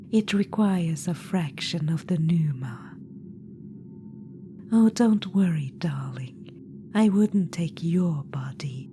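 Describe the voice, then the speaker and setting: A woman's voice: on the low side, dark tone, a little hiss or hum. A woman voicing an ancient undead witch-queen spirit in an ASMR roleplay, whispering in a purring, patronizing way. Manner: purring, patronizing, calls listener darling.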